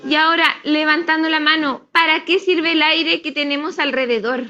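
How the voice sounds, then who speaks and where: A woman's voice: sits high, steady tone, normal speed, sharp tone, faint music behind. A woman teaching young children in a classroom, didactically asking guiding questions and repeating answers. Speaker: primary school teacher. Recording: dull lo-fi classroom video playback.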